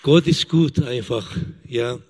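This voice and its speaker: A man in his fifties, a missionary giving a halting testimony as a church guest and often searching for words. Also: mid-range voice, darkish tone, slight background noise. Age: 50s.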